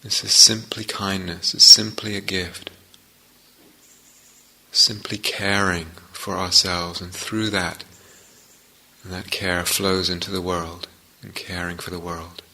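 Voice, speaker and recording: A man leading a guided meditation as a teacher, speaking gently and in measured short phrases with long pauses. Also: low voice, level tone, vocal fry, rough recording.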